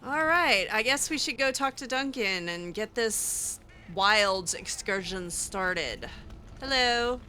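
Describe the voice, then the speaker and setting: Woman gamer doing casual roleplay, bright sharp voice, kinda hesitant, bit of background noise. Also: mid-range voice, lively intonation, slow. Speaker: gamer, role-playing her character. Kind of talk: improvised in-character game roleplay, casual.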